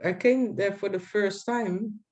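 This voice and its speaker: A woman with a Dutch accent, a guest chatting on a video call, speaking haltingly with many restarts and fillers. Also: mid-range voice, darkish tone. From Netherlands. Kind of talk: casual video call chat.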